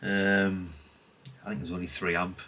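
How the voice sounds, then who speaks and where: Middle-aged man, lowish bright voice, rambling hobby vlog chat, some background noise. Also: little pitch movement, normal speed. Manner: rambling, conversational, repeats catchphrases. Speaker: hobbyist vlogger. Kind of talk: unscripted casual chat, showing an item.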